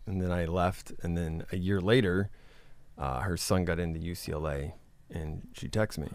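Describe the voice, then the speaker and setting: A man from Indiana, a podcast guest, telling his story in a relaxed way. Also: low-pitched, moderate pace, no room sound. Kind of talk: casual storytelling.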